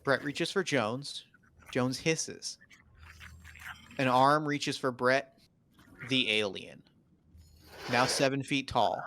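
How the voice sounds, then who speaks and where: A man's voice: medium pitch, slow pace, long pauses, noisy background. A man narrating stage directions at a script table read in terse, clipped, dramatic phrases.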